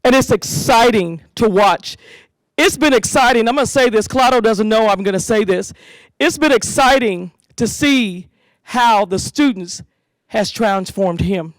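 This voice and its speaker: A woman hosting a school community safety event, giving an animated speech to parents and leading call-and-response chants. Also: on the high side, measured pace, no room sound, low-quality recording.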